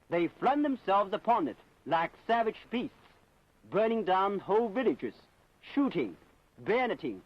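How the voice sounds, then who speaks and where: A man narrating a scripted propaganda documentary in a solemn, emphatic, declamatory manner. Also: sits high. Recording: dull 1960s film soundtrack.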